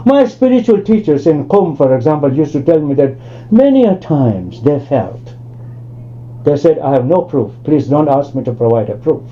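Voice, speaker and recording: A man's voice: on the high side, expressive, normal speed, a little hiss or hum. An older man from Tanzania giving an academic lecture over a video call, speaking discursively with many asides and restarts. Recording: lo-fi online call audio.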